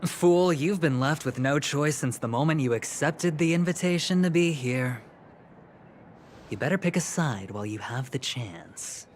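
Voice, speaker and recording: An actor playing a smooth-talking, vain young gambler in a video game, with a flamboyant, self-satisfied delivery. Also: male voice, on the high side, lively intonation, a little slow, a little hiss or hum. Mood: smug, threatening. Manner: suave, smug, flamboyant. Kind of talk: video game character acting. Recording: music playing behind the voice.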